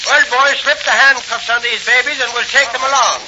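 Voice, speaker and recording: An actor playing a blustering, tough police officer with a brash, slangy manner in a 1940s radio serial. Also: male voice, very high voice, steady tone, a little fast. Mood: flustered, commanding. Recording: muffled, noisy old radio recording.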